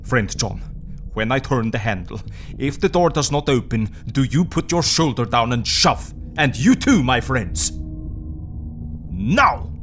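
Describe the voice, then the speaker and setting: An actor playing a grave older Dutch professor and vampire hunter, speaking in an emphatic, formal, commanding way in an audio drama. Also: male voice, medium pitch, sing-song, measured pace, a bit bright, slight background noise. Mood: urgent, commanding.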